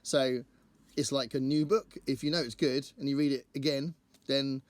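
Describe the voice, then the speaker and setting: Male book vlogger, croaky and strained, medium pitch, dry close sound, chatty and digressive. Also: fairly even intonation, normal speed, no echo. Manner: chatty, rambling, thinks aloud. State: croaky voice after a singing gig. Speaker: book channel host. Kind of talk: casual to-camera book chat.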